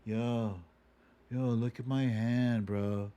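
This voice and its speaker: A man playing a spaced-out stoner dude, amazed by everything, with a drawn-out, repetitive, dazed delivery. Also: medium pitch, fairly even intonation, dark tone, clear, resonant tone, dull audio, pitched below the performer's own voice, natural voice. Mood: dazed wonder.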